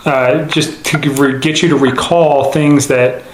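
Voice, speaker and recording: An American man working as an investigator, conducting an informal interview with casual, halting, rambling questions. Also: medium pitch, fairly even intonation. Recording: echoey room, faint noise.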